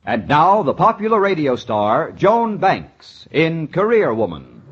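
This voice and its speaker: A man announcing a 1940s radio drama in a theatrical, ominous, deliberate scripted style. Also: fairly high pitch, huge pitch swings, a bit bright, slightly rough. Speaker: announcer and host of the show. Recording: muffled, very noisy 1940s radio recording.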